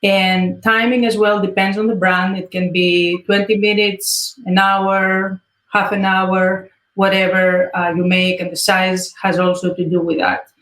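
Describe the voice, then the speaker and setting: A woman from Greece with a Greek accent, speaking English as a second language in an interview, pausing often with fillers. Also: medium pitch, little pitch movement, a bit bright, echoey room, poor audio. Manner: hesitant, many fillers. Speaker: guest artist. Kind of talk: interview answers.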